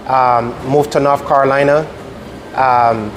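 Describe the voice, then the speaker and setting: A middle-aged man from Barbados speaks as a chef being interviewed. His mid-pitched voice explains things in a measured way, with pauses. There is clear background noise and hum in the room. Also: fairly even intonation.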